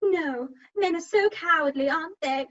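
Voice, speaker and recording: Seeking an actress for a sweet Victorian girl, very high voice, on dull lo-fi sound. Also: moderate pace. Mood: dismayed, prim. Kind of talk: rehearsed reading of a Victorian stage comedy. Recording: dull lo-fi remote call sound.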